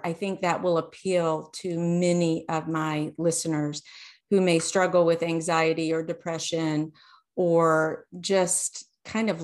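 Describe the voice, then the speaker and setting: A woman hosting a podcast, encouraging and effusive as she asks her guest questions. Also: lowish voice. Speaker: podcast host. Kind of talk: casual interview.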